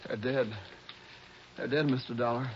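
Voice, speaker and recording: An actor playing a shaken, grieving young city man in a 1960s radio drama, speaking in a halting, gravelly voice. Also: mid-range voice, fairly even intonation, lightly acted. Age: young adult. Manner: halting, faltering. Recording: muffled, noisy old radio recording.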